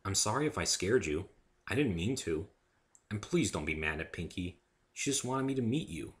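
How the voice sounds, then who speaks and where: A kindly, careful man in a story, acted by the male reader. He speaks with a bright edge and animated inflection, gentle, wary and quick to apologize. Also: low-pitched. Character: wary, kindly human man among ponies. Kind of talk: dramatic reading of fan fiction.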